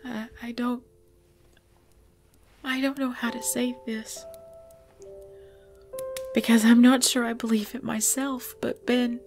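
A woman acting a tender, regal female vampire lover in an intimate ASMR roleplay, speaking softly and hesitantly with breathy whispers. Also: a little high, level tone, slow pace, pauses often. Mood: scared, hesitant. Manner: soft, hesitant, often dropping to breathy whispers. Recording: music bed under the voice.

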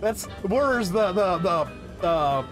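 A man's voice: sits high, expressive, takes it slowly. A middle-aged man giving casual reaction commentary as host, close on a desk mic in a home room. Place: small home room indoors. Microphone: close desk microphone on an arm. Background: music from the comic dub.

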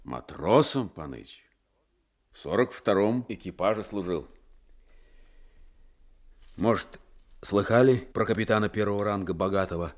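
A low-voiced convict sailor in a radio play. He is gentle and weary, with humble folksy phrasing, drawn out slowly yet rising and falling expressively. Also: expressive. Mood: gloomy. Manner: soft-spoken folksy servility, brief weary phrases. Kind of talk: Soviet radio drama acting.

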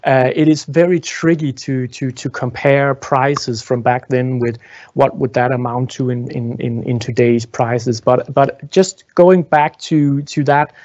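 A man in his thirties giving an informal guided tour, explaining in a halting way with repeated words. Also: medium pitch, fairly even intonation, darkish tone, muffled recording. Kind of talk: informal guided tour talk, answering questions. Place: indoor museum exhibition room. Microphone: small clip-on lapel mic.

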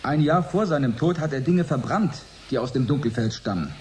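Schauspieler als ernster, bedächtiger Forscher, mittlere Stimmlage. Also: male voice, mid-range voice, lively intonation, fairly quick, a little mellow, slight background noise, natural voice. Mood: earnest. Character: earnest, careful male research expert. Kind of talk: radio drama interview.

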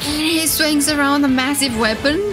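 A woman doing excited live reaction commentary as a streamer, gushing and flustered, often repeating herself. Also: high voice, normal speed, poor audio. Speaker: VTuber streamer reacting to game trailers. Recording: game trailer music behind voice.

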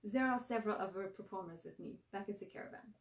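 A woman's voice: medium pitch, quick pace, lightly acted. A wary, touchy storybook squirrel monkey boy from the circus, played by a woman. He talks in a thick Russian accent with blunt, clipped, broken sentences. The voice is distant in a very echoey room. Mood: flustered. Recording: roomy echo, far from mic.

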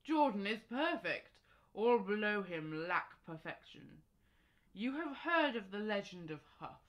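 A woman's voice: mid-range voice, very animated, unhurried, fairly bright tone. A woman acting a stern, pompous old priest in a radio drama, solemn and declamatory. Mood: grave teaching.

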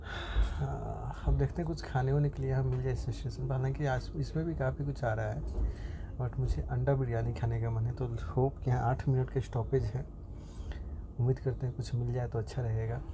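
A man's voice: mid-range voice, steady tone, moderate pace. A young man chatting casually in a travel vlog, thinking aloud with many fillers and restarts, filming on a phone by a train window. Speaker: vlogger. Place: inside a train compartment at a station, by the window. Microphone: phone camera held near the window. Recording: noisy phone recording in a train. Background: station and train ambience.

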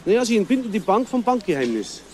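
A man's voice: sits high, sing-song, quick pace, noisy background. A man playing a polite but insistent police officer in a crime radio play, official and persuasive. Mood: politely insistent.

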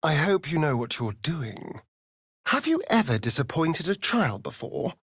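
A sardonic, vain vampire rogue from a video game, who speaks in a slow, husky drawl. His delivery is arch and flirtatious, with theatrical swoops in pitch. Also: very animated, a bit bright, no echo, clean studio sound, heavily acted. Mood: arch, teasing. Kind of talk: video game character acting.

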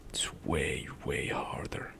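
A young man whispering softly in a slow ASMR ramble over gameplay, close to the mic, at home. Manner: soft whispered rambling with light laughs. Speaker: ASMR gaming streamer. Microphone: close studio mic, headset on.

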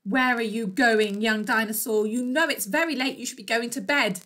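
A woman's voice: a little high, normal speed, a bit bright, natural voice. A woman voicing a concerned, firm mermaid who advises a youngster in a children's story.

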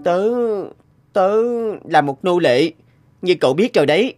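A man's voice: high-pitched, lively intonation, a little slow, a little mellow, soft background music. An actor playing a humble, earnest sixteen-year-old enslaved boy inventor in a cartoon, polite and sincere. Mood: earnest, pleading. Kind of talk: cartoon dubbing.

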